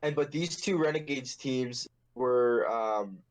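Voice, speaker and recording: A man's voice: medium pitch, takes it slowly, low-quality recording. A young man hosting a casual podcast, telling a story in a rambling, profane, joking way. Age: young adult. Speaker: podcast host.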